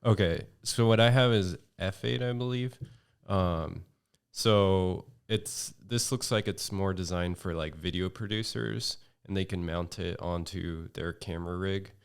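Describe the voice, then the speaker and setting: A man talking as a podcast guest in casual chat at home, in a monotone way, halting with many fillers and restarts. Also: deep voice, gravelly, smooth.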